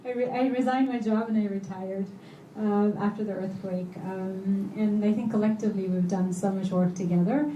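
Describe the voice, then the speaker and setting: A woman's voice: mid-range voice, fairly even intonation, moderate pace, warm tone, lo-fi sound. A middle-aged woman talking reflectively and earnestly about herself, repeating phrases for emphasis. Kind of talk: personal reflective talk. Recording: echoey room, distant mic, faint noise.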